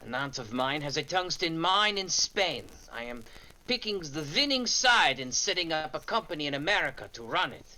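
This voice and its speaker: An actor playing an urbane, cynical war profiteer in a 1940s-style radio drama, sly and boastful. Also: male voice, fairly high pitch, sing-song, normal speed, pushed and bright, exaggerated voice, low-quality recording. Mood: smug.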